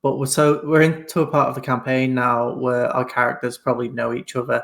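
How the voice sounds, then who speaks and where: A tabletop player with a gravelly, creaky voice puts forward an idea to his group. He speaks in a flat, level tone with little rise and fall, at a middle pitch. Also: fairly quick. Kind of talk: casual table talk.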